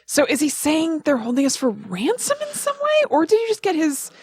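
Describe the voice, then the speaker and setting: A woman's voice: sits high, huge pitch swings, normal speed, no echo. A woman co-hosting a podcast in a casual, conversational way. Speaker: podcast co-host.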